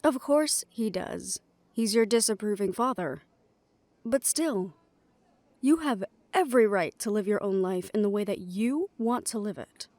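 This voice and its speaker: Actress as protective big sister type, firm, wry, dry close sound, audio drama. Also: female voice, mid-range voice, a little slow, a little mellow, no room sound, natural voice. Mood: supportive, frank. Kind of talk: audio roleplay drama acting.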